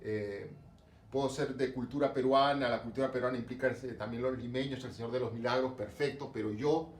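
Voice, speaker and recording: A man's voice: medium pitch, steady tone, brisk, reverberant, recorded from a distance. A man from Lima with a Peruvian accent, giving a religion lesson with lots of rhetorical questions and fillers. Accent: Peruvian Spanish, Lima. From Peru, coast (Lima). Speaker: religion teacher. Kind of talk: online class lecture to students.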